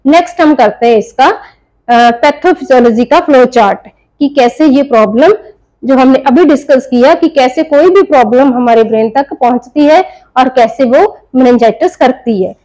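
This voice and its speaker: A woman's voice: high voice, moderate pace, dark tone, poor audio. A woman teaching a nursing class, explaining step by step and answering her own questions. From India. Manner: explains in Hindi mixed with English terms, asks and answers own questions. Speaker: nursing tutor. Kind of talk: explanatory lecture.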